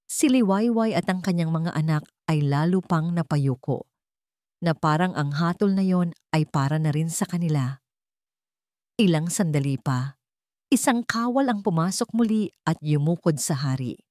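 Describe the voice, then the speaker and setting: Female story narrator, slightly low register, solemn and deliberate, dramatic scripted read, dry studio-like sound. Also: expressive, mellow, dry recording.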